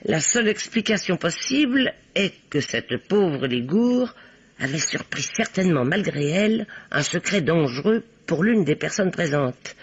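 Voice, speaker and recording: Give this radio-play voice to a bossy older spinster sleuth. She is husky and swoops dramatically in pitch, emphatic and sure of herself. The recording is dull and dated. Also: heavily acted. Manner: theatrical, emphatic, authoritative. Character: bossy older spinster playing amateur detective, self-assured. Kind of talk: old French radio play acting.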